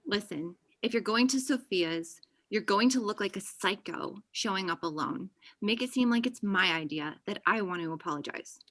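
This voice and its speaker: A woman's voice: mid-range voice, lively intonation, moderate pace, few pauses, fairly bright tone. A young woman acting a blunt, defensive friend in a table read over a video call. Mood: insistent. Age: young adult.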